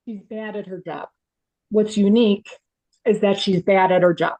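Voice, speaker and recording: A woman playing a tough, streetwise grade-school teacher in a sitcom table read, blunt and deadpan. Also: mid-range voice, normal speed, no room sound, lightly acted. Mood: blunt disdain.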